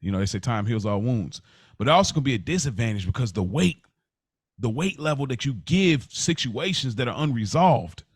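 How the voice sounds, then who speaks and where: A man's voice: medium pitch, animated, a little fast, husky, no echo, clean studio sound. An American man co-hosting a podcast, talking casually and reflectively, often repeating and rephrasing as he explains. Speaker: podcast co-host.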